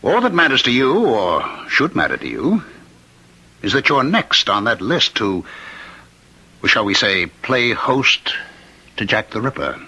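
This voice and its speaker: Raspy, bright-voiced condemned killer. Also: male voice, mid-range voice, big pitch swings, full character voice, low-quality recording. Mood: knowing, assured. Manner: articulate, knowing, needling, measured pauses. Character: condemned killer claiming to be Jack the Ripper's spirit, taunting, insistent. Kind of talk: radio drama acting.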